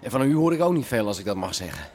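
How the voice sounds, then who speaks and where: Halting, whiny young man, played by an actor. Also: mid-range voice, big pitch swings, a little mellow, slight background noise, natural voice. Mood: hesitant, aggrieved. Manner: halting, complaining, unsure. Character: hesitant, whiny young adult son of a crime boss. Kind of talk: naturalistic radio drama acting.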